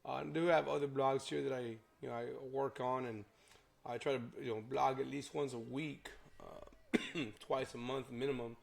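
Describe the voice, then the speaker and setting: A hoarse young man, a realtor chatting loosely through a casual vlog walkthrough with lots of fillers. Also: mid-range voice, fairly even intonation, normal speed. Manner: rambling, filler-heavy. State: hoarse from a cold.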